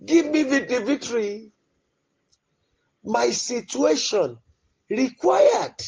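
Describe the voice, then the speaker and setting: Husky man crying out in fervent prayer. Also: expressive, takes it slowly, darkish tone. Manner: impassioned, pleading, alternating cries and low murmurs. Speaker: prayer leader.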